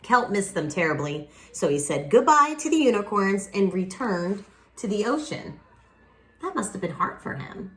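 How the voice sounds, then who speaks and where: A woman reading a picture book aloud expressively, with dramatic pauses and casual asides to young listeners. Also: medium pitch, big pitch swings, fairly bright tone. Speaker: children's picture book reader.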